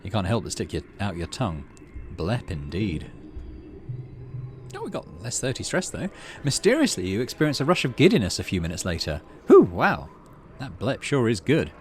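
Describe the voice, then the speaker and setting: A man with a fairly low voice narrates a video game playthrough. His intonation is lively, moving between read-aloud story and casual commentary, and there is clear noise behind him. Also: moderate pace. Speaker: gaming channel host.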